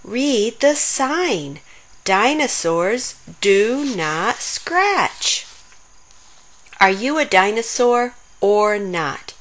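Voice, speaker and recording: A woman's voice: medium pitch, sing-song, very slow, fairly bright tone, full character voice. A woman voicing a small, bossy, earnest dinosaur in a children's storybook, emphatic and commanding. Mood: bossy, alarmed. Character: small bossy bespectacled dinosaur, earnest about being tough.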